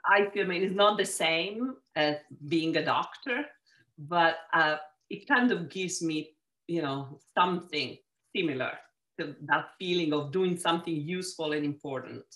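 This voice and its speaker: A woman's voice: low-pitched, normal speed, rough recording. Italian-accented woman telling her story. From Sicily, Italy. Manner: warm storytelling with frequent restarts. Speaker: real estate agent, guest. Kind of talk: casual interview chat.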